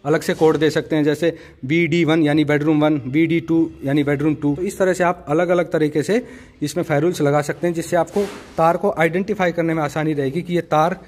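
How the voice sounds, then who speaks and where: A man's voice: a little high, steady tone, brisk, darkish tone. A man giving a practical how-to explanation as a tutorial host, in an instructive, methodical way, addressing viewers as friends.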